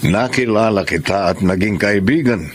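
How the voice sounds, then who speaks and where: An actor playing a friendly Canadian suitor in a Tagalog radio drama, mixing in English words. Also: male voice, fairly low pitch, crowd noise, close to an everyday voice. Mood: amused, friendly. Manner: slow, mixes in English words. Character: Frank, friendly wealthy Canadian suitor speaking halting Tagalog.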